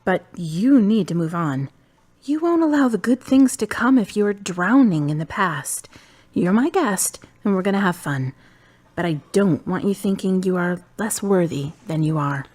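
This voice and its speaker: A woman's voice: medium pitch, expressive, measured pace, a little mellow. A woman playing a blunt, caring hostess. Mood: firm. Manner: direct, questioning. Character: caring, blunt woman taking in a grieving friend. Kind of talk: amateur drama acting.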